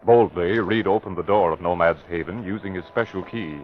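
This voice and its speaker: A man's voice: low-pitched, a little fast, a little hiss or hum, natural voice. A man narrating a classic radio mystery drama in a scripted, dramatic style. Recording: dull vintage radio broadcast recording.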